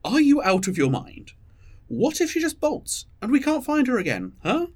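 Husky, very animated, controlling leader acted out. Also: high voice, moderate pace, exaggerated voice. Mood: angry, insistent. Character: stressed, controlling, pragmatic leader of a secret plan. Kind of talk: audio drama dialogue.

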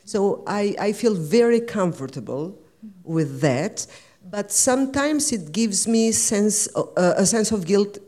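A woman with an Albanian accent, speaking in a thoughtful, halting way as a writer on a discussion panel. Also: medium pitch, lively intonation, warm tone. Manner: thoughtful, halting, searching for words. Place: lecture event before an audience.

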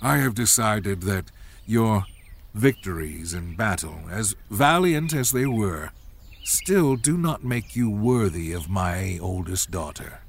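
A man's voice: fairly low pitch, animated, takes it slowly, vocal fry, slight background noise, heavily acted. An actor playing an imperious, scheming king and father in a dramatized Bible story, commanding and theatrical. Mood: cold, calculating.

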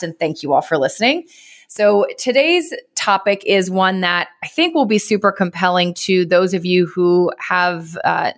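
A woman hosting a business podcast, upbeat and lively, with casual, informal phrasing. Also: lowish voice, no room sound, studio quality. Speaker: podcast host. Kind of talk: podcast intro and interview.

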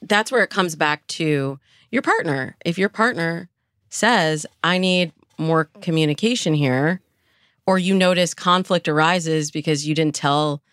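A woman's voice: low-pitched, measured pace, fairly bright tone, no room sound, studio quality. A woman speaking as a dating coach and interview guest, explaining her advice conversationally with illustrative example phrases.

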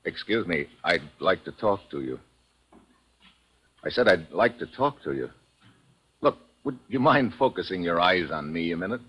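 A man's voice: low-pitched, animated, moderate pace, long pauses, breathy edge, lo-fi sound. A man with an American accent acting a brusque, wisecracking secret agent in a 1950s radio drama. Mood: insistent. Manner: brusque, sardonic, clipped questioning. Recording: 1950s radio recording with music.

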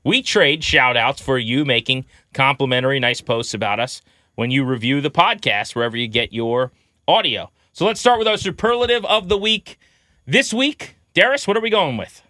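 Animated, bright-voiced man hosting sports podcast. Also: medium pitch, moderate pace, dry recording. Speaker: podcast host.

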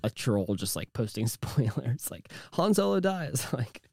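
A man with a naturally deep voice, co-hosting a casual podcast chat, jokey and crude with lots of halting fillers. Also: big pitch swings, vocal fry, a little mellow, dry recording, studio quality. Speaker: podcast co-host.

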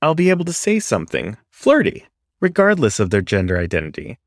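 A man's voice: mid-range voice, no echo, clean studio sound. A man narrating a first-person story in an earnest, confessional manner.

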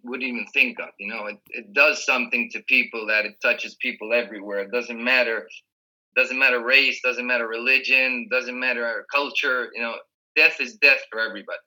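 A man whose first language is Spanish, a guest chatting casually over a call from home, relaxed and restarting his words. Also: mid-range voice, normal speed, poor audio. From Rio de la Plata region. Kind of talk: casual remote interview chat. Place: home in Spain.

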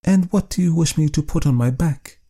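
A man's voice: fairly high pitch, moderate pace, gravelly, mellow, voice pushed up. A man putting on a plaintive, discontented young wife. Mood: irritated. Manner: plaintive, pained raised voice.

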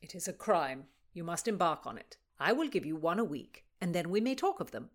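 A woman's voice: lowish voice, sing-song, brisk. A woman voicing a proud, teasing young nobleman who declaims love poetry with force. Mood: confident.

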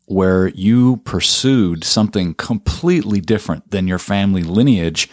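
A male podcast host who reads a scripted intro and asks polite, measured questions. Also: sits low, a little mellow.

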